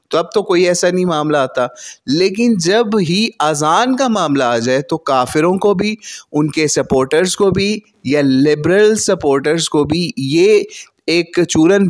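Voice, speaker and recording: A man delivers a religious rebuttal in an earnest, lecturing tone, quoting sources by their numbers. His voice sits a little higher than most men's. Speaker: religious commentator.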